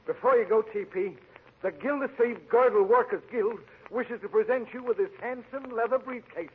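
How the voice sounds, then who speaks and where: A tinny, faintly noisy vintage radio recording of a male factory hand. His voice is high, and he speaks with deference, as to a boss, in old comedy acting style. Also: normal speed. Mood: deferential.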